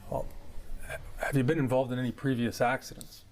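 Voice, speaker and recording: Man playing a brisk, fast-talking lawyer. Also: medium pitch, flat delivery, darkish tone, natural voice. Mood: businesslike, probing. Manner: formal, procedural, persistent. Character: brisk, probing male defence lawyer questioning a witness. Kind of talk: legal examination in a training dramatization.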